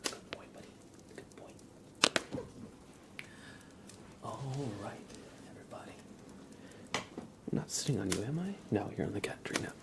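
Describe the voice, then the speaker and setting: A man hosting an ASMR livestream, chatting casually in a soft whisper. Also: medium pitch, sing-song, a little slow, gravelly, pauses often. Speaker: livestream host.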